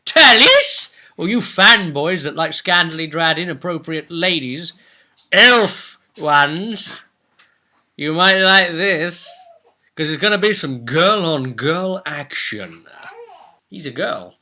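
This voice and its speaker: A man's voice: a little high, lively intonation, slow, bright, forceful tone. A man hosting a casual, playful tabletop role-play recap in a jokey, hammy way. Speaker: host and game master recapping a tabletop role-play story. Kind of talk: casual, jokey story recap for a video audience.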